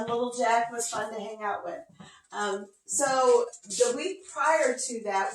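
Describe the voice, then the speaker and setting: A woman with a scratchy voice and a slight cough, teaching a casual quilting lesson from her home sewing studio in a chatty, folksy way. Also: a little high. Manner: chatty, folksy, talks to her dog between steps. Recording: echoey room.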